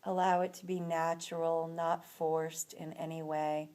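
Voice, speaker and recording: A woman leading a guided relaxation as an instructor, speaking in a soothing, unhurried manner with long pauses between phrases. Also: low-pitched, little pitch movement, darkish tone.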